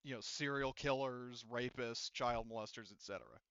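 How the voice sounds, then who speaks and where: Bright, clear-voiced male game master. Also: medium pitch, no echo, lo-fi sound. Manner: halting, thinking aloud while checking rules. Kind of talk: running a tabletop roleplaying game, casual improvised explanation.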